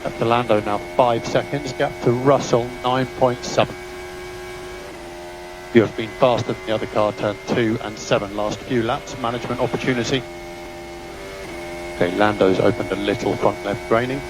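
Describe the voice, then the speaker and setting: A man's voice: medium pitch, steady tone, moderate pace. A man working as a race engineer, giving terse, businesslike technical instructions and updates over team radio. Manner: clipped, businesslike, technical delivery. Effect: team radio transmission. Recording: noisy team radio, engine and vehicle hum.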